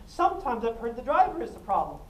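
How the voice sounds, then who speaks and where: A woman giving a self-defense talk, animated and jokey, often laughing and acting out what people said. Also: fairly high pitch, quick pace, highs cut off. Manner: animated, jokey, laughs a lot, acts out quotes. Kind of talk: lively storytelling talk to a class. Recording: echoey room, mic at a distance, faint noise.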